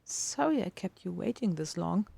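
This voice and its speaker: A woman voicing a gentle, unafraid injured human in a romantic audio story, speaking softly and with tender reassurance. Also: fairly low pitch, expressive, normal speed, vocal fry, husky, dry recording, exaggerated voice. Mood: apologetic warmth.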